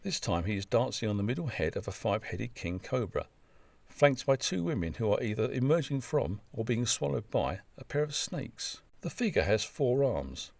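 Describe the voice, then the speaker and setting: A man's voice: medium pitch, very animated, normal speed, gravelly, no room sound. A man reading a scripted travel narration in a wry, wordy, descriptive manner. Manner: wry, dry-humoured, wordy descriptive delivery.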